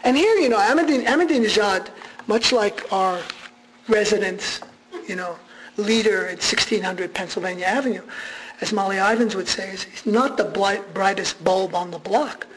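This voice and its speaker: A man's voice: sits high, moderate pace, fairly bright tone, slight background noise, poor audio. A man whose first language is Armenian, giving a conversational public lecture with frequent restarts and fillers.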